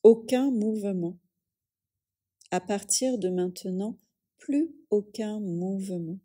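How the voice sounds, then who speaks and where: Very slow, mellow woman guiding relaxation. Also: medium pitch, slightly rough. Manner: calm, soothing, measured phrases with long pauses. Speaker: yoga nidra teacher. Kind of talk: guided relaxation meditation.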